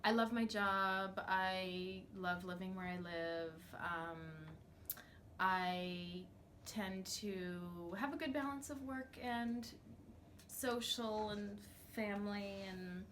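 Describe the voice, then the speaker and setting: A woman who studies Francophone literature and film, answering interview questions casually in quick, eager bursts. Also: mid-range voice, a bit bright, a little hiss or hum.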